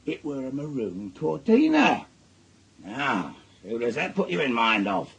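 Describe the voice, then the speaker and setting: A man's voice: mid-range voice, wildly swooping pitch, a little slow, creaky, fairly bright tone, husky, full character voice. A man playing a jealous, suspicious working-class husband in a radio drama, with a Northern English accent and a grumbling, insistent manner. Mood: suspicious, earnest. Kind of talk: naturalistic radio drama acting.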